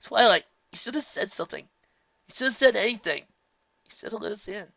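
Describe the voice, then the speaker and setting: A reader voicing Spike, a young male dragon, distraught and loyal, who chokes up and yells. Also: medium pitch, expressive, a little fast, vocal fry, no room sound, dull audio, pitched above the performer's own voice, heavily acted. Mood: shouting. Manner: choked, yelling, pleading.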